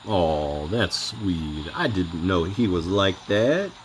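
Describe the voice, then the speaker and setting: A man's voice: deep voice, animated, takes it slowly, slight background noise. A man casually reacting to game voice lines with laid-back, offhand comments. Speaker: reaction video host.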